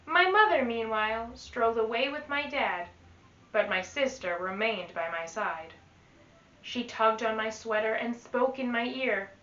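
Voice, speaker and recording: Woman, higher-pitched, very slow children's read-aloud, expressive, enunciated, instructional, echoey room. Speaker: teaching artist reading to children. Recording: echoey room, slightly dull sound.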